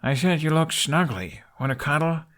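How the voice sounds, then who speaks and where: A man's voice: fairly high pitch, expressive, moderate pace, a bit bright, pitched above the performer's own voice. A sarcastic young storybook hero, played by a male reader, grumpy and cheeky. His lines are dry and lifted a little above a narrating register. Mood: cheeky, taunting. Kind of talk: audiobook character voice.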